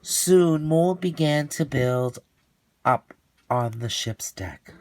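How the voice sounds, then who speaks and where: Slow-talking man reading a children's story. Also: a little high, expressive, no echo. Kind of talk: expressive storybook narration.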